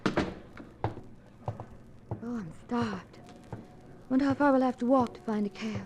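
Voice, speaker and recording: A woman's voice: on the high side, a little slow, darkish tone, lo-fi sound, slight background noise, natural voice. Poised, flirtatious American woman, played by an actress. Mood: guarded. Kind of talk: 1950s radio drama acting.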